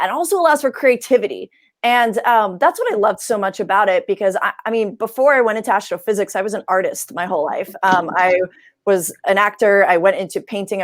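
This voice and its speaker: Young woman panelist, medium pitch, eager and chatty, lots of fillers. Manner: enthusiastic, chatty, many "like" fillers. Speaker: astrophysicist and science communicator panelist. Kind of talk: casual panel talk.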